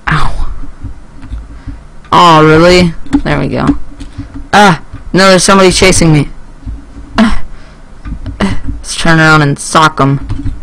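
A teenage male gaming YouTuber giving casual, rambling and excitable gameplay commentary, speaking into a headset indoors. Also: a little high, slow pace, vocal fry, long pauses, a little hiss or hum.

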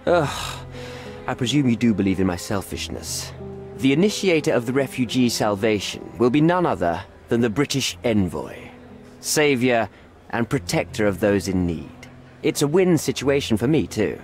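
An actor playing a self-important, cynical middle-aged diplomat in a video game, pompous and self-satisfied. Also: male voice, mid-range voice, sing-song, normal speed, a little mellow, faint music behind, close to an everyday voice. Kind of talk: video game cutscene acting.

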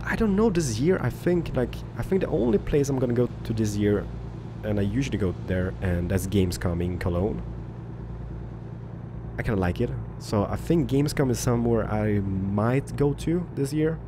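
A man's voice: medium pitch, animated, moderate pace. Man over truck noise. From Poland, raised in Sweden. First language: Swedish. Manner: rambling, loose, many fillers and restarts. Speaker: gaming YouTuber. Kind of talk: casual gameplay commentary with viewer Q&A. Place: home office. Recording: game engine noise under voice.

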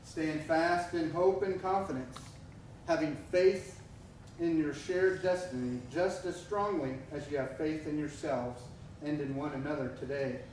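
A middle-aged man officiating a wedding, speaking in a measured, solemn way. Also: a little high, darkish tone, a little hiss or hum. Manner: measured, solemn liturgical delivery. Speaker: officiating minister. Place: church sanctuary. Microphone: far camera. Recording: echoey church from far off.

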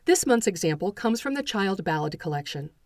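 A woman hosting a folklore podcast, reading a scripted introduction in a bright, eager way with playful asides. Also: medium pitch, very animated, brisk, no room sound, clean studio sound.

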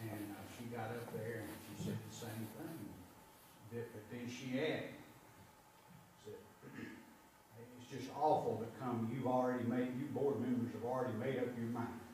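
A man's voice: mid-range voice, fairly even intonation, normal speed, warm tone. A man chairing a school board meeting, telling rambling stories and calling on members. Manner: rambling anecdotal storyteller. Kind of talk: meeting discussion. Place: large meeting room. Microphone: far camera. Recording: echoey room from far off, background noise.

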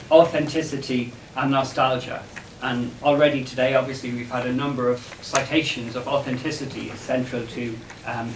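A man with an Irish accent presenting an academic paper in a measured, slightly hesitant way to a seminar room. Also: fairly high pitch. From Ireland. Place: wood-panelled seminar room, indoors. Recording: echoey room, distant mic, background noise.

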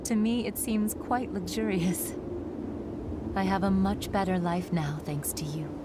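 An actress playing a timid young woman in a video game, speaking softly and hesitantly with gratitude. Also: mid-range voice, steady tone, measured pace, close to an everyday voice. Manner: soft, hesitant and grateful. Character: timid young woman rescued, grateful and shy. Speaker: game character. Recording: game audio with loud background noise.

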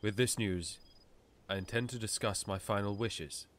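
A man's voice: fairly low pitch, normal speed, a bit bright, lightly acted. A man playing a weary, solemn warrior king in an audiobook, speaking formally. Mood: weary, grave. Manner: formal, stately.

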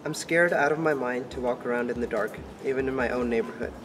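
Teenage boy reading aloud, loud music. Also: mid-range voice, fast, far from the mic.

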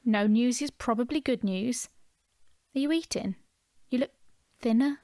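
An actress plays a calm, caring woman in an audio drama. She sounds sensible and matter-of-fact, reassuring in a slightly high voice. The recording is dry and close. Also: a little slow, no room sound. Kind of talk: naturalistic audio drama acting.

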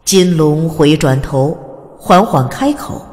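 A woman's voice: deep voice, expressive, slow, a little mellow. A woman narrating a fantasy audiobook in a dramatic, scripted storytelling style. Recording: long reverb over music. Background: music.

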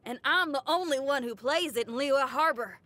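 A woman's voice: high-pitched, normal speed, pushed and bright. A woman voicing a brash young female rock guitarist in a game, cocky and upbeat. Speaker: game character. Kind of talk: game voice lines.

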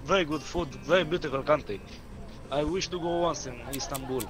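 A man's voice: a little high, fairly quick, a crowd in the background, low-quality recording. A man chatting and arguing casually on game voice chat, repeating himself insistently. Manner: repetitive, insistent. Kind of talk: casual argumentative banter.

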